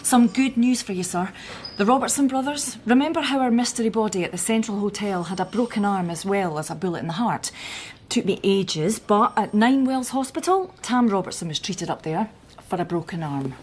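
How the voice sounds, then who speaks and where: An actress playing an efficient, loyal junior police detective in a radio drama, businesslike and deferential. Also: female voice, medium pitch, animated, fairly quick, darkish tone, a little hiss or hum, natural voice. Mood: eager, efficient.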